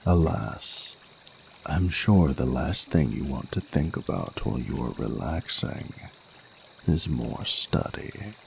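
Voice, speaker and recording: A man's voice: very deep voice, very animated, creaky, slight background noise, heavily acted. A man voicing a flirty, courtly male dragon in a romantic audio roleplay, speaking formally and soothingly in intimate ASMR style. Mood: admiring, encouraging. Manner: formal, flowery, unhurried, soothing speech. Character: ancient-sounding flirty male dragon, tender, courtly lover.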